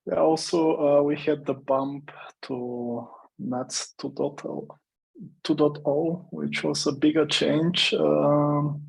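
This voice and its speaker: A man giving a casual technical status update on an online call in halting phrases. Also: on the high side, level tone, creaky, husky, rough recording. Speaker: working group member giving a status update.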